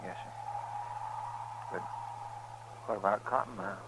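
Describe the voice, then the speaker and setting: A man's voice: lowish voice, measured pace, a bit bright. A man playing a loyal, deferential, folksy deputy in an old-time radio western. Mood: rueful. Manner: plain-spoken, respectful, folksy. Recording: very noisy old radio recording.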